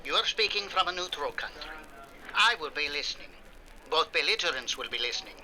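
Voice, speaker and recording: An actor playing an officious wartime censor in a 1940s-style radio drama, formal and commanding. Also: male voice, on the high side, animated, fairly quick, bright, forceful tone, slight background noise. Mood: stern.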